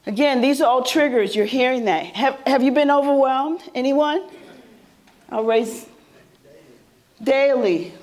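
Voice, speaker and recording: A woman with a soothing, slightly high voice gives a workshop talk. She speaks conversationally and keeps turning questions back to the room. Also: a little slow, long pauses, darkish tone. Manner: conversational, quizzes the audience with questions. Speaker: presenter at a mental health symposium. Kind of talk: interactive workshop presentation. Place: conference room before a live audience.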